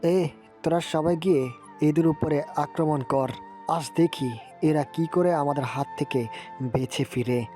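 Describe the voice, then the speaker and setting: A man's voice: fairly high pitch, measured pace, long pauses, lightly acted. A man playing a vengeful vampire lord who barks orders at his horde in an audio story drama, over background music. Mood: menacing. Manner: barking gruff orders. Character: commanding vampire lord, male, adult, vengeful. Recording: music bed behind.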